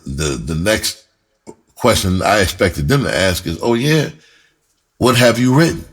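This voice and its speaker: A middle-aged American man speaks in a very low, husky voice. He tells his story casually, as if to friends. His delivery is blunt and emphatic, full of swearing and street slang. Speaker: screenwriter telling his own story. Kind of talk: casual first-person storytelling to camera.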